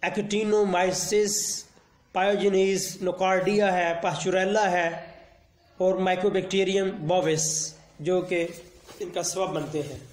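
A man, a veterinarian giving a measured instructional lecture that mixes with English technical terms. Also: sits high, fairly even intonation, roomy sound. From Pakistan. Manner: slow, measured explanation mixing Urdu and English terms. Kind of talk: instructional lecture on livestock disease.

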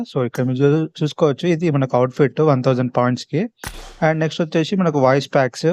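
A man's voice: on the high side, darkish tone, dry recording. A man from India with a Telangana Telugu accent, giving a casual, instructional walkthrough as a gaming YouTuber.

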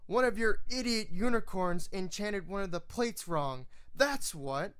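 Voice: mid-range voice, lively intonation, unhurried, a bit bright, clear, resonant tone, pitched above the performer's own voice. Grumpy stallion blacksmith put on by a narrator, mocking, growling, scolding, for a storybook tale. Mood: mocking, annoyed. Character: grumpy, sarcastic male earth pony armor smith.

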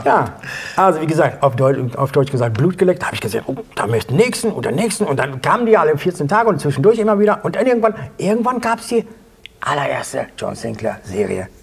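Guy in his 50s, kinda high husky voice, excited storyteller, does the dialogue as he goes. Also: fast talker. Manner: excitable, acts out dialogue in short bursts. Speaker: interview guest. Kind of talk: animated anecdotal storytelling. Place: small indoor studio set at a table.

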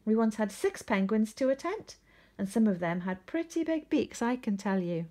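A woman's voice: on the high side, big pitch swings, moderate pace, dark tone, pitched above the performer's own voice. A woman voicing a firm, cheerful father penguin in a storybook, reassuring and no-nonsense. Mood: chuckling.

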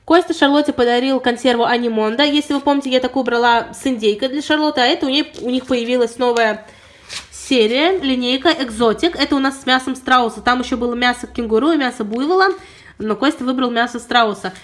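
Fast-chatting woman with a sore throat. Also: high-pitched, slight background noise. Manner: chatty, detailed, apologetic asides. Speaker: pet vlogger. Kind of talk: product haul review. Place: indoors at home.

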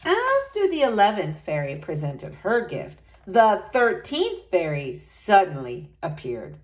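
A woman's voice: mid-range voice, huge pitch swings, measured pace. A woman reading a storybook aloud to young children, expressively, in the manner of a school librarian.

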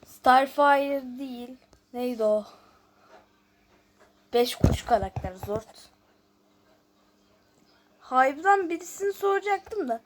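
A woman's voice: high voice, lively intonation, slow, long pauses. A woman hosting a casual gameplay video, chatting and thinking aloud as she reads out questions and answers them. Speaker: YouTube host.

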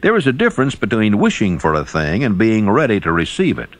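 Low-voiced, animated male audiobook narrator. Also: fairly quick, no room sound.

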